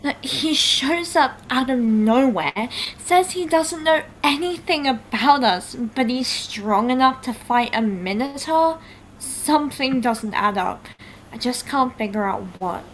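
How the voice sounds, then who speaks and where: A woman's voice: high voice, expressive, unhurried, slight background noise, rough recording. A woman playing a proud, sceptical, brainy teenage girl in an online table read of a stage musical.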